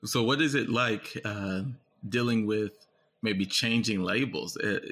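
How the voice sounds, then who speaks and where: A man's voice: lowish voice, a little slow, fairly bright tone. A man co-hosting a podcast, putting questions to a guest in casual conversation. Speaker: podcast co-host.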